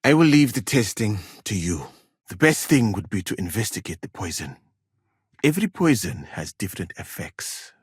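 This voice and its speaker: An actor playing a learned, well-travelled West African physician in a video game, speaking formally and precisely with dry wit and a husky voice. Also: male voice, on the low side, very animated, no room sound, exaggerated voice. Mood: calm, explanatory. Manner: formal, measured, precise diction with dry irony. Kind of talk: video game character dialogue.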